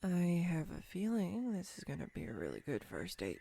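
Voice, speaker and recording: A woman playing a clumsy, excitable young woman on a first date in a roleplay audio, with a bubbly, hesitant, playfully teasing manner. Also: on the low side, normal speed, creaky, a little hiss or hum, heavily acted. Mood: fond, amused. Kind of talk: scripted girlfriend roleplay audio, intimate one-sided dialogue.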